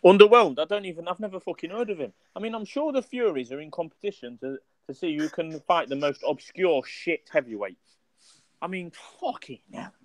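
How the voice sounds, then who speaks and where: A man's voice: a little high, expressive, fairly quick, low-quality recording. A man, a co-host on a casual boxing podcast, who talks bluntly, swears freely and sounds dismissive.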